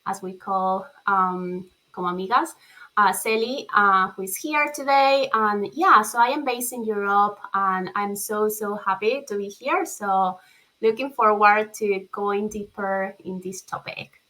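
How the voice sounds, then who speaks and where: A woman from Ecuador introduces herself on a video panel with a bright, clear voice. She speaks in a friendly way, sprinkling in small "uh"s. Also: medium pitch, unhurried, rough recording. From Ecuador, Guayaquil. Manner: warm, friendly, with fillers. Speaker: panelist, community founder. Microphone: video call.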